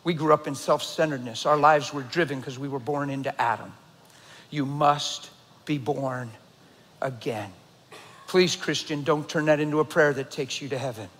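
Fiery preaching man in his fifties. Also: moderate pace. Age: 50s. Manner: builds from low, quiet delivery to shouting intensity. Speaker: pastor. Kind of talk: sermon. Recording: long hall reverb.

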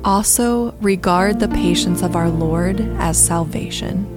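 Slow-paced woman reading scripture over music. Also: on the low side. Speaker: scripture reader. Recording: soft new-age music underneath. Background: instrumental new-age music.

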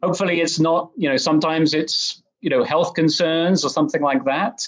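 A man from England with an English accent, a guest on a podcast interview, explaining his work in a measured, articulate way. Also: a little high, fairly even intonation, dull audio. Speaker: guest, M&A advisor.

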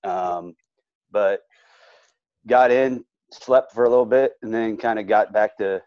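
A man's voice: on the low side, a little slow, no echo, highs cut off. A man, an assistant wrestling coach, talking in an easygoing, matter-of-fact way in a casual interview.

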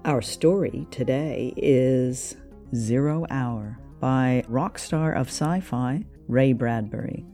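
Slow, mellow woman podcast host. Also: very deep voice, faint music behind. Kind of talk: scripted show introduction.

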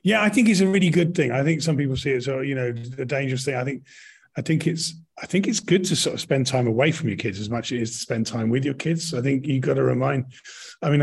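Husky middle-aged British man, thinking aloud. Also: medium pitch. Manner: halting, thinking aloud with repeated rephrasing. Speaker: podcast guest, actor and director. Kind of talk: casual reflective conversation.

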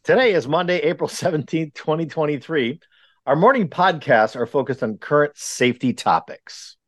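A man's voice: on the high side, lively intonation, normal speed, no echo, clean studio sound. A man from Wisconsin hosting a podcast, chatting casually with many ums and uhs in an earnest, rambling way. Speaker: podcast host. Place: remote recording.

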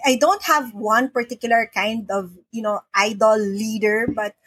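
A woman's voice: on the high side, normal speed, fairly bright tone, breathy edge, no echo. A middle-aged woman from the Philippines, a podcast guest talking earnestly and often repeating words as she starts a sentence. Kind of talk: casual interview talk. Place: home in the Philippines.

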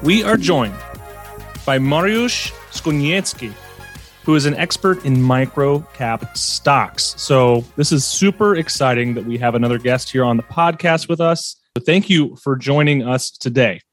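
A man's voice: mid-range voice, unhurried, faint music behind. A man hosting a finance podcast, opening the show in an upbeat, sing-song way. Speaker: podcast host. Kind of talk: casual podcast chat.

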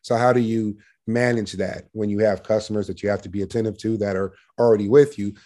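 Male sales manager as podcast guest: lowish, very mellow tone, assertive and projecting, conversational business talk. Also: fairly even intonation, quick pace. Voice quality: loud, projecting voice.

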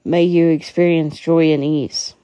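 A woman leading a guided meditation in a soothing, measured way, occasionally stumbling as she reads. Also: low voice, flat delivery, warm tone, no room sound. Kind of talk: guided meditation, slow instructional reading.

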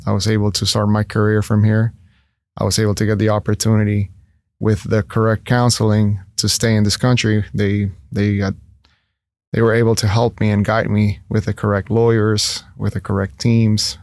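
A man's voice: on the low side, a little mellow, no room sound, studio quality. A man in his thirties from Ecuador, a guest in a casual interview, talking earnestly with many fillers and restarts. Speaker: guest, entrepreneur.

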